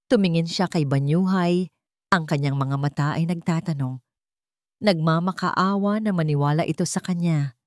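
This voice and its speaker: Female narrator for dramatic audio fiction, lowish voice, dry close sound. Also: dry recording. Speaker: story narrator.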